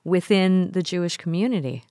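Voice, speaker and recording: A woman hosts a radio interview, asking her guests questions in a gentle, conversational manner. Her voice sits a little low. The recording is dry and close. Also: moderate pace, no room sound.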